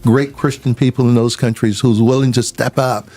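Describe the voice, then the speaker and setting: A man, answering radio interview questions as a sheriff in a measured, folksy way with many pauses. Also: medium pitch, fairly even intonation, dark tone. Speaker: sheriff guest.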